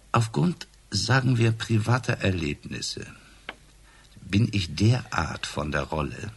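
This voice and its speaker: Casting: boozy police inspector for radio crime play, deep husky voice, sarcastic interrogator. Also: male voice. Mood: mocking. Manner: sarcastic, probing, theatrical pauses. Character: hard-drinking, sardonic male police inspector, relentlessly accusing. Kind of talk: German radio crime drama acting.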